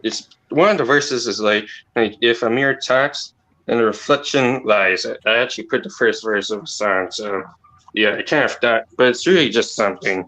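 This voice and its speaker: A man with an accent, talking quietly and haltingly in a casual call discussion as the host, rambling and restarting his sentences. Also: lowish voice, poor audio. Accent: non-native or regional accent. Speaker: channel host.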